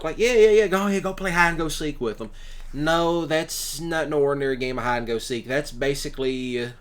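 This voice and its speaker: A man's voice: medium pitch, a bit bright. Man, mid-range voice, folksy and blunt, casual rambling storyteller. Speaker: ASMR roleplay creator and commentator.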